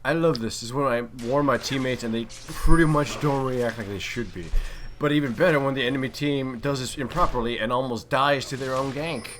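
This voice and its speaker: Male gamer, mid-pitched, casual and wry play-by-play, slightly noisy home recording. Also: a little fast, a bit bright. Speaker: gaming video commentator and player. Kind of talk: casual game commentary.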